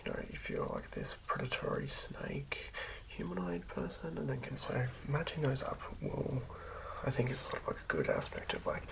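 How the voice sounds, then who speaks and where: An ASMR host speaking in a hushed, halting whisper, musing aloud through unscripted commentary. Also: medium pitch, creaky, low-quality recording.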